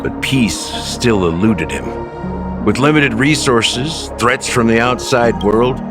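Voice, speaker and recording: A man playing a world-weary officer in a video game, telling history in a measured, dramatic way over background music. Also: mid-range voice, expressive. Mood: solemn narrating. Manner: measured, dramatic, deliberate storytelling delivery. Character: world-weary male officer, sly, grave storyteller guide. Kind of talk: video game story dialogue.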